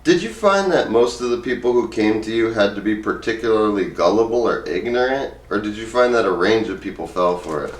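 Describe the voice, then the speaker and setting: A man's voice: on the low side, fairly quick, hardly any pauses. A man reading book excerpts aloud in an informal, plain-spoken way. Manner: informal, plain-spoken, reads both sides of interviews in own voice. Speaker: book reader, presenter. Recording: roomy, echoey, distant microphone.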